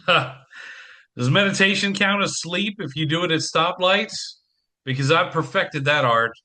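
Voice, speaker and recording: A man acts out a stressed father going through divorce in an audio play. His voice is bright and a little high. He is anxious but earnest, with a dry, self-mocking streak. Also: slow pace, exaggerated voice. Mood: wry. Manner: self-deprecating, wry, earnest. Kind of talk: community theatre audio play acting.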